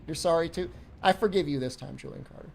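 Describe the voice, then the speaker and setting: A man's voice: on the high side, fast, vocal fry, background noise. A man in his forties chatting to his live-stream viewers while gaming, joking around and breaking into profane, mock-angry rants. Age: 40s. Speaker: game streamer.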